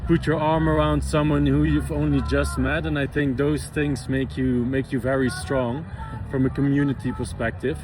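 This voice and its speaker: A man's voice: medium pitch, flat delivery, moderate pace, hardly any pauses. A man from the Netherlands, a visiting physiotherapist, answering interview questions in a hesitant way with many fillers and restarts. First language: Dutch. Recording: outdoor traffic noise behind.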